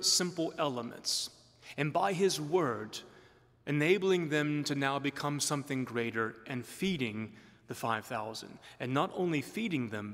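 A middle-aged man preaching a sermon as a priest, explaining in a measured way with emphatic stresses, from the pulpit of a large stone church. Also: on the high side, fairly bright tone. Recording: long church reverb.

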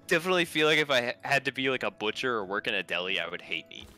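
A man's voice: medium pitch, very animated, very fast, pushed and bright, faint music behind. A man bantering casually as a player on a group game stream, blunt and opinionated.

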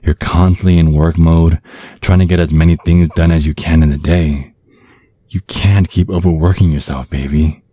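A man playing a tender, protective vampire boyfriend in an ASMR roleplay, speaking in a soothing, coaxing, affectionate way with a husky voice. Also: low-pitched, fairly even intonation, moderate pace, warm tone, dry recording. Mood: gently reassuring. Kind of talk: ASMR roleplay, intimate soft talk.

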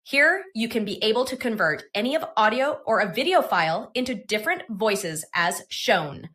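A woman presenting software in a scripted tutorial narration. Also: fairly high pitch, animated, normal speed.

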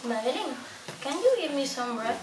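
A woman's voice: sits high, sing-song, normal speed. A young woman acting as a motherless daughter, pleading and defiant, in an amateur puppet show. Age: young adult. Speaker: student puppeteer. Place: indoor day centre room, puppet booth. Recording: echoey room, distant camera, noisy.

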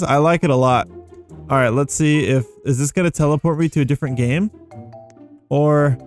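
A young adult man giving casual, rambling gameplay commentary, easily amazed and thinking aloud as he hosts. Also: on the high side. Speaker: gaming video host.

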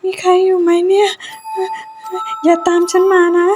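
A woman's voice: very high-pitched, level tone, normal speed. A woman playing an anxious young woman haunted by her dead roommate in a cartoon ghost story, speaking dramatically with exclamations and repetition. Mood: panicked, terrified. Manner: dramatic, exclaiming, repeats phrases when panicked. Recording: music behind the voice.

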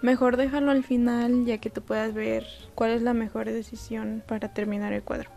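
Young woman artist, relaxed chatty voice-over, fairly high pitch. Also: normal speed, gravelly, soft background music. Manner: relaxed, chatty, self-deprecating. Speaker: artist narrating a painting process. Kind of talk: casual voice-over narration.

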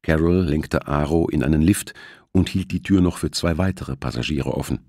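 A man's voice: rumbling low, normal speed, dark tone, no room sound, studio quality. A man reading a novel aloud as a scripted audiobook narration.

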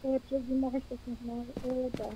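A woman's voice: high voice, completely flat delivery, moderate pace, warm tone, breathy edge, poor audio. A woman playing an online ghost game, talking affectionately and teasing her friend. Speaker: co-player. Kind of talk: casual gaming chat.

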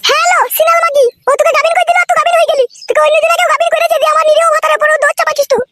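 A performer voicing a shrill, scolding, indignant young village woman in a comic cartoon, with a rural West Bengal accent. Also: steady tone, rapid-fire, pushed and bright. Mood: accusing, indignant. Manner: scolding, accusing. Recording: noticeable background noise.

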